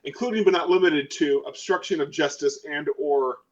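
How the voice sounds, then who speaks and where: A coolly intimidating female lawyer in a tabletop game, acted out by a man in a slightly high voice. The wording is formal, pointed legalese with a sarcastic edge. The recording is dull and lo-fi. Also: moderate pace, far from the mic, close to an everyday voice.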